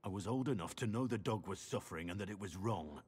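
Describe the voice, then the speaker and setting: A man playing a brooding, guilt-ridden warrior in a video game, with a slow, bitter delivery. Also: lively intonation, darkish tone, long reverb tail. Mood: anguished, guilty. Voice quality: very deep, husky. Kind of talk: dramatic video game acting.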